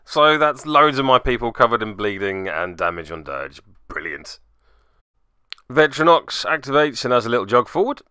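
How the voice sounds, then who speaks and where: A man's voice: medium pitch, big pitch swings, normal speed, bright, forceful tone, smooth, no room sound, studio quality. A man hosting and narrating a tabletop game move by move, with theatrical flourishes and wry asides. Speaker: podcast host and player.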